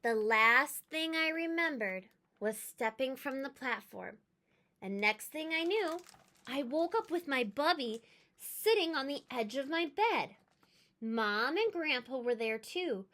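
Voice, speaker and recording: A woman narrates a children's picture book in a bright, fairly high voice. She takes her time and leans into the words with animated, expressive emphasis. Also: slow pace. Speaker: read-aloud storyteller, teacher.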